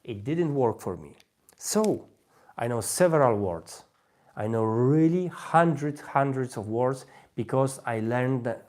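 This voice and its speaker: A man from Poland, a native Polish speaker, giving an informal livestream talk with a Polish accent, halting and often rephrasing himself. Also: mid-range voice, a little mellow. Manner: halting, repeats and rephrases phrases. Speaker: host, language learner giving advice.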